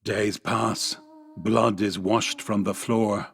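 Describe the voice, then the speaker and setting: A man's voice: fairly low pitch, steady tone, takes it slowly, gravelly, breathy edge. A man reading scripted, dramatic narration for a radio play.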